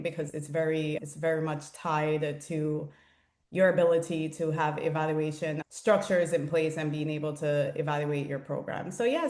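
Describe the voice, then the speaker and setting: Low-voiced woman in an echoey room. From Jamaica. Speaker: interview guest, founder and consultant. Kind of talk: conversational interview answers about own career. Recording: roomy, echoing sound.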